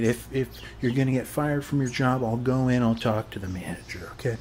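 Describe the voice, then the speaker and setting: A man's voice: mid-range voice, slight background noise, close to an everyday voice. A man playing a friendly, chatty suburban neighbor in a casual ASMR roleplay, rambling reassuringly in a folksy way and tagging phrases with short check-in questions. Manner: folksy, reassuring, repeats "okay?" as a tag. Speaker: ASMR performer.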